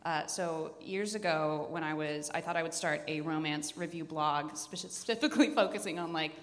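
A woman with a lowish voice chats casually as a podcast co-host. She is on a live panel, and the large room leaves a long echo on her voice. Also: normal speed. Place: large indoor event room. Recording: echoey hall, live room microphone.

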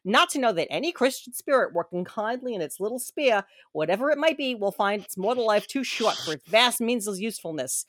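A woman's voice: medium pitch, animated, a little fast, hardly any pauses, a bit bright, no room sound, clean studio sound, lightly acted. Woman doing a mournful chained ghost. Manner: solemn, then cursing and laughing at itself. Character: doomed chained ghost of an old businessman. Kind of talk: comic reading aloud.

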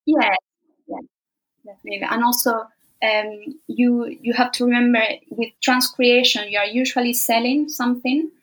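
A woman, a translation company director, answering interview questions in a measured, explanatory way with frequent ums and uhs. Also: on the high side, steady tone, fairly bright tone, low-quality recording.

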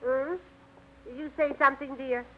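A woman's voice: high-pitched, steady tone, normal speed, lightly acted. An actress playing a prim, fussy middle-aged woman in a 1950s radio drama. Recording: tinny old radio broadcast.

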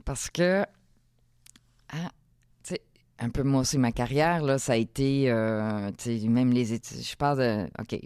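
A woman's voice: rumbling low, a little slow, a little mellow, smooth, no room sound, studio quality. A middle-aged woman with a Quebec French accent, talking casually as an interview guest, thinking aloud in broken phrases. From Quebec, Canada. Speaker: podcast guest, entrepreneur.

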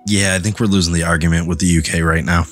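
A man's voice: low-pitched, level tone, fast, faint music behind. A man, probably in his thirties, chatting casually as a podcast guest in a wry, joking way.

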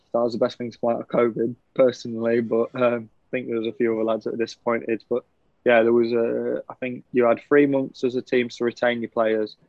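A man's voice: medium pitch, dry recording. A young man, a professional cricketer, chatting casually and laughing often in a podcast interview. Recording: dull, lo-fi, dry sound.

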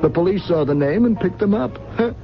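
Chatty, animated gossipy hotel clerk. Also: male voice, high voice, a little fast. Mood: gossipy, excited. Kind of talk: 1970s radio drama acting. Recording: dull 1970s radio broadcast, music behind.

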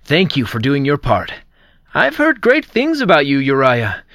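Persuasive male president, smooth, lively pitch, audio drama. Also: measured pace, no room sound, clean studio sound, heavily acted. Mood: cordial. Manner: persuasive, silky and coaxing.

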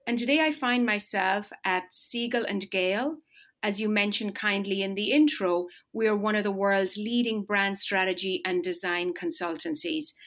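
A middle-aged woman speaks as an interview guest, her voice at an ordinary pitch. She talks in a measured, articulate and polished way. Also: fairly bright tone. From Ireland. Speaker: marketing executive guest. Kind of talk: podcast interview.

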